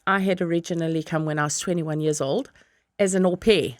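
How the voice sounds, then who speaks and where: A woman's voice: deep voice, steady tone, a little fast, dry recording, clean studio sound. A South African woman guest on a podcast, chatting casually, laughing often and acting out her own past thoughts. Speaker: podcast guest, business owner. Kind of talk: casual interview chat.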